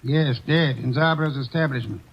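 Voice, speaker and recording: An actor playing a portly, unflappable older detective who speaks in a measured, pompous way, in 1940s radio acting. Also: male voice, mid-range voice, slightly rough. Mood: smug. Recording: dull old radio broadcast recording.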